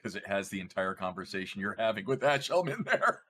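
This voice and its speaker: A man's voice: on the low side, sing-song, fairly quick, sharp tone, slight background noise. A man playing a tabletop roleplaying game, chatting casually with joking asides.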